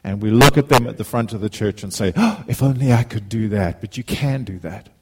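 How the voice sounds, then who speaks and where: A man's voice: fairly low pitch, fairly quick, heavy background noise. A middle-aged man from Zimbabwe, giving an informal, heartfelt sermon as a guest preacher, often repeating words as he starts a phrase.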